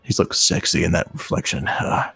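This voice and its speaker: A man's voice: normal speed, sharp tone, no room sound, soft background music. A man reading fan fiction aloud in a mock dramatic style, with amused, incredulous casual banter.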